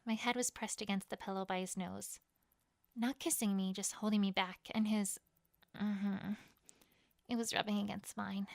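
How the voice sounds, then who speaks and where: A woman playing a proud, conflicted pony queen, telling her story in first person in a dramatic, expressive fan fiction reading. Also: mid-range voice, normal speed, creaky, no echo, clean studio sound, natural voice. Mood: weary, tense.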